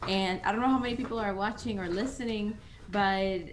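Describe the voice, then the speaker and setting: Energetic young woman on a roomy call. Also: medium pitch, a little fast, slight background noise. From Dominican Republic. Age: young adult. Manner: energetic, lively. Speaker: guest, startup co-founder. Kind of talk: interview answers.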